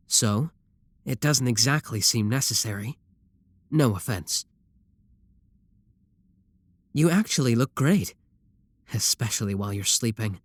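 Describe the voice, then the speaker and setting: Casting: a man to play an earnest, deadpan yandere kidnapper for ASMR roleplay, mid-pitched, recorded dry. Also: dry recording, natural voice. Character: devoted, earnest yandere kidnapper sibling, matter-of-fact. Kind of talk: scripted ASMR roleplay acting.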